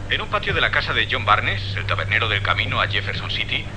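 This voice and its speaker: An actor playing a matter-of-fact police sergeant making an official report in a radio drama. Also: male voice, lowish voice, big pitch swings, quick pace. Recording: distant telephone voice, humming noisy line.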